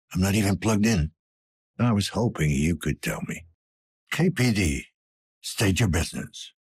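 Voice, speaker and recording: An actor playing a weary, gruff older police detective in a cyberpunk video game, with a gravelly, breathy rasp and a terse, dry manner. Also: male voice, deep voice, exaggerated voice. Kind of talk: scripted game character dialogue.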